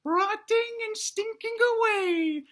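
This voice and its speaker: Woman doing a squeaky, pompous little boy dinosaur. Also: slow pace, a bit bright, exaggerated voice. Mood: grand, solemn. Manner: grandiose, theatrical, old-fashioned phrasing. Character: frightened, earnest little boy dinosaur, pompously self-sacrificing.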